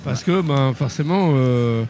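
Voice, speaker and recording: A man co-hosting a casual radio interview, brisk and encouraging. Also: medium pitch, warm tone, soft background music. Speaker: radio co-host.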